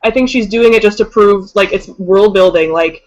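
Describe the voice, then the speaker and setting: Gushing woman in casual chat, mid-pitched voice. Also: moderate pace, dull audio. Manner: gushing, slangy, informal. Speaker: co-host of a book discussion livestream. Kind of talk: casual, opinionated book chat.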